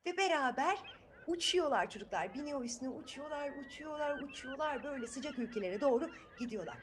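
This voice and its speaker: Bir kadın, çocuklara sorular sorarak, sözleri tekrarlayarak dramatik bir dille doğaçlama masal anlatıyor. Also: female voice, high-pitched, lively intonation, a little fast, slight background noise. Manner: dramatic, repeats words, asks listeners questions. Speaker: children's storyteller. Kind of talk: improvised, animated storytelling to children.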